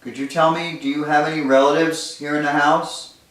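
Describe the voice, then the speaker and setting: A man's voice: a little high, flat delivery, fairly quick, a bit bright. A man investigating a haunted house, calling out to spirits in a coaxing, conversational way. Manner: coaxing, speaks to spirits directly. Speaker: paranormal investigator. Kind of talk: casual ghost-hunting talk. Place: inside a house. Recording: echoey room from far off, faint noise.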